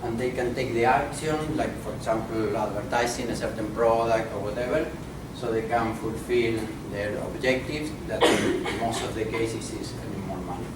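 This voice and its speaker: Man, academic lecturer, deliberate and halting; distant, echoey, noisy room recording. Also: lowish voice, fairly even intonation. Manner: deliberate, halting, many fillers. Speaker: visiting professor and speaker. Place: conference room.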